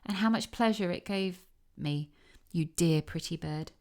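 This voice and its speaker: A woman voicing a tiny, tender-hearted young girl in a storybook, speaking gently. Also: lowish voice, a little slow, close to an everyday voice. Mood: wistful.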